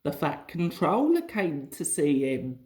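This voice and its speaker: A woman's voice: deep voice, very animated, a little slow, a little mellow. A woman reading a children's story aloud as its narrator.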